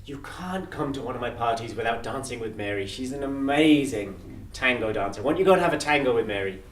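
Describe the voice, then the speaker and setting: A man's voice: mid-range voice, expressive, a little fast, fairly bright tone, slight background noise, pitched below the performer's own voice, close to an everyday voice. A man voicing a cheery, nonstop-talking 95-year-old Scotsman who keeps fussing over his guests, in a put-on Scottish accent. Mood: insistent. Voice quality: talks over others nonstop, fussing hospitably. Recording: echoey room from far off.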